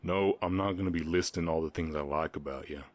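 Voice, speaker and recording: A man playing a gentle, reserved orc ranch hand with a Southern US accent, speaking haltingly and earnestly in an intimate Western romance roleplay. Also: deep voice, fairly even intonation, lightly acted. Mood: flustered, yielding.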